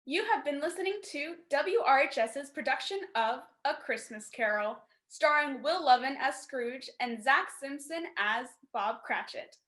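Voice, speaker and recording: A woman's voice: high-pitched, animated, a little slow, hardly any pauses, a bit bright. A woman reading a scripted announcement as the announcer of a radio play.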